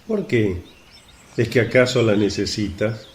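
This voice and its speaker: A man's voice: on the low side, lively intonation, measured pace. An actor playing a concerned, questioning male disciple in a devotional radio play. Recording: faint noise with birdsong.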